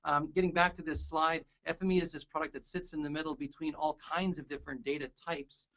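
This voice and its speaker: A man hosting a software webinar, upbeat and joking, enthusiastic as he talks with a colleague. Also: a little high, steady tone, fairly quick. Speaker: webinar host. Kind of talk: casual webinar chat.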